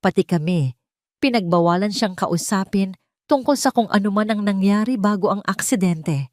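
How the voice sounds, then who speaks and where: A woman's voice: mid-range voice, normal speed, darkish tone, no echo, studio quality, natural voice. A Filipino woman voicing a frightened, hesitant old female former nurse in an audio story. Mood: fearful, grave.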